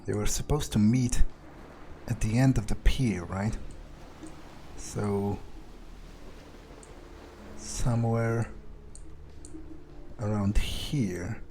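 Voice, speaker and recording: A man plays a scornful, self-assured vampire in a video game, speaking his thoughts aloud. His voice is on the low side and very mellow, with musing pauses and muttered asides. Some noise sits behind the recording. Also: fairly even intonation. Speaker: gameplay video player. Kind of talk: in-character game playthrough, thinking aloud. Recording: noticeable background noise.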